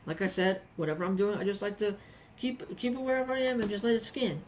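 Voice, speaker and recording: A woman's voice: mid-range voice, lively intonation, fast, darkish tone, slight background noise. A woman giving a casual, chatty hobby review with anecdotes, often repeating phrases for emphasis.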